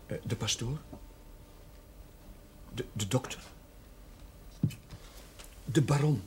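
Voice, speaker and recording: An actor playing an earnest, anxious young curate with a Dutch accent in a television drama, hesitant and stammering. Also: male voice, medium pitch, slow pace, close to an everyday voice. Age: young adult. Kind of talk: naturalistic television drama acting. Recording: noisy old television soundtrack.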